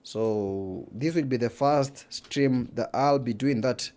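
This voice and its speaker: A man's voice: medium pitch, slow, a little mellow. A man with an East African accent and Swahili as his first language, hosting an esports livestream in casual commentary, repeating phrases for emphasis.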